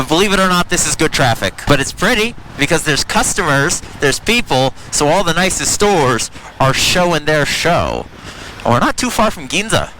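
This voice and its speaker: A man's voice: fairly high pitch, bright, forceful tone, poor audio. An American man in his thirties giving rambling, self-deprecating commentary while riding a motorcycle through city traffic, recorded on a helmet mic. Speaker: motorcycle vlogger. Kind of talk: casual ride-along commentary. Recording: faint road and engine noise. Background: traffic and engine noise.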